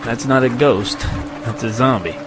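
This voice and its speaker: Blunt soldier played by a man, loud music behind. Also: medium pitch, normal speed, lightly acted. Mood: alert. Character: alert, blunt army squad soldier. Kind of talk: audio drama acting.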